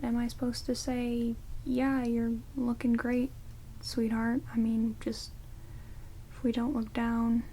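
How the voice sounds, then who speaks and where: A woman playing a sardonic, grumbling vampire in a soft, half-whispered ASMR roleplay, muttering dryly close to the microphone. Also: fairly high pitch, slight background noise, lightly acted, poor audio. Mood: grudgingly caring. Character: sardonic, grumbling female vampire grudgingly tending an intruder. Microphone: close binaural microphones. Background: heavy rain.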